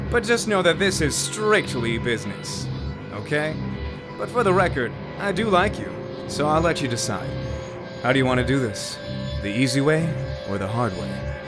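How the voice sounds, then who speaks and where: A man's voice: mid-range voice, big pitch swings, normal speed, lightly acted. An actor playing a businesslike, unbothered hitman in an audio drama, with a dry, understated manner. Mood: cool, wry. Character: cool, businesslike male hitman, smug and unbothered. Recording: very loud music over the voice. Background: very loud music.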